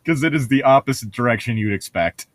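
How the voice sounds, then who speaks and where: A man's voice: mid-range voice, lively intonation, fast, no room sound. A man reading aloud casually and breaking off for sarcastic, sweary commentary as co-host of a comedic read-along.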